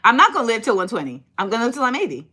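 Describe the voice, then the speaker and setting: Bright-voiced chatty woman, livestream host. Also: fairly high pitch, racing, breathy edge. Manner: chatty, sassy, animated, reads and answers viewer comments. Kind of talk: casual livestream chat with viewers.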